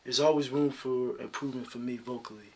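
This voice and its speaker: A man with a deep talking voice, answering interview questions about his singing in a relaxed, reflective way. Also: brisk, slightly rough. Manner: relaxed, reflective, many "you know" fillers. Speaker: singer guest.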